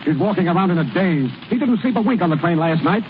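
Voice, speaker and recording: An actor playing an earnest, coaxing baseball team boss in a 1930s radio drama. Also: male voice, sits high, lively intonation, quick pace. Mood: worried. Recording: muffled, noisy vintage radio broadcast.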